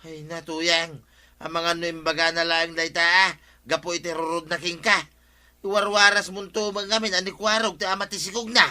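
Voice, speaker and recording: A man's voice: a little high, level tone, normal speed, sharp tone. A man playing a brazen village man in a comedy drama, speaking with a Filipino accent. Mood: dismissive. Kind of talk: Ilocano comedy radio-drama acting.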